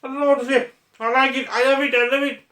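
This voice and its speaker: A man's voice: extremely high pitch, flat delivery, fast talker, pushed and bright. A young man from Malaysia, talking to viewers in a casual taste-test vlog. He sounds playful and exclamatory, and he laughs often. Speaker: food vlogger. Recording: echoey room.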